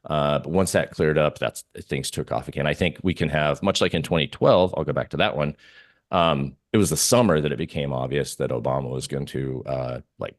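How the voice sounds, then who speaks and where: Very deep-voiced man in casual interview. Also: dry recording, studio quality. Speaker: interview guest, systematic trader and newsletter writer. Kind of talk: casual interview talk on markets.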